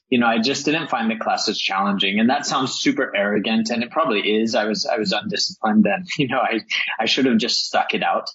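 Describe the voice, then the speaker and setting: A man in his forties from Texas, telling his life story in a relaxed, rambling, self-deprecating way as an interview guest. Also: medium pitch, husky. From El Paso, Texas, USA. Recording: muffled lo-fi audio.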